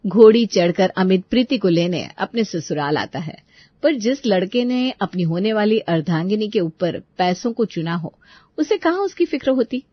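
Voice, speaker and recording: A woman's voice: mid-range voice, animated, moderate pace, dry recording. Woman reading a dramatic story, dry close sound, slightly muffled. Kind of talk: scripted dramatic story narration.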